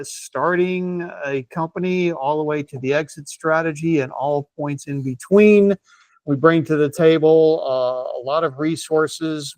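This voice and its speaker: A man's voice: on the high side, dry recording. A man hosting a business interview podcast, introducing his guest in an upbeat, promotional way with occasional fillers. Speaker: podcast host, consultant.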